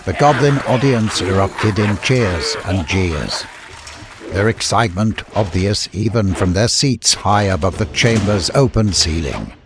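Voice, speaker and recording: A man's voice: on the low side, animated, unhurried, background noise. A man reading scripted fiction as a narrator, in dramatic audiobook style.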